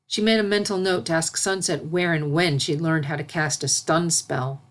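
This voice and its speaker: A woman's voice: deep voice, steady tone, moderate pace. A woman reading a fantasy story aloud as its narrator, in scripted narration. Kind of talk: scripted narration of fan fiction.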